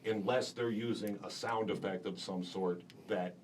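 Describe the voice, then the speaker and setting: A deep-voiced man teaching a class in a school radio studio, explaining casually with plenty of fillers. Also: fairly even intonation, unhurried. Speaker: broadcasting instructor. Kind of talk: classroom demonstration. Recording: distant mic with faint room hiss.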